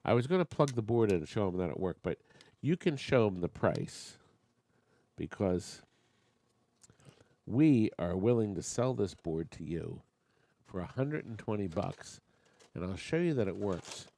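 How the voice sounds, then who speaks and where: Gravelly man running a chatty auction. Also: fairly low pitch, lively intonation, unhurried, long pauses, dark tone. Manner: chatty, joking asides to bidders. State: losing his voice. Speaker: auctioneer and show host. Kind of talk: live online auction chat.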